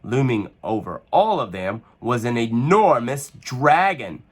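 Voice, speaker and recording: A man reading a children's picture book aloud with expressive, animated storytelling. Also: mid-range voice, unhurried.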